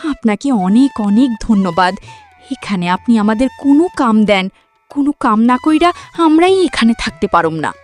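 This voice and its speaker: A woman's voice: fairly high pitch, animated, normal speed, faint music behind, natural voice. A woman playing a humble, hardworking village woman in a cartoon, deferential and eager, with a Bangladeshi accent. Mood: grateful, eager. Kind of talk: cartoon voice acting.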